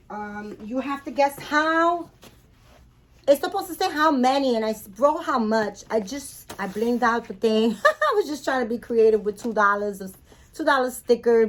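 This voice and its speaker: A woman with a Spanish-influenced accent, talking casually in a home vlog. Her talk is chatty and apologetic, full of fillers and restarts, and she moves closer to and farther from the camera mic. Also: a little high. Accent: Spanish-influenced English. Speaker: doll collector vlogger. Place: home apartment.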